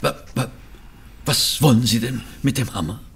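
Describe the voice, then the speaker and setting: An actor playing a flustered, easily shocked gentleman sidekick in an audio drama, stammering and indignant, with a raspy voice. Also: mid-range voice, normal speed, background noise, natural voice. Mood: alarmed, indignant. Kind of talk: German audio drama acting.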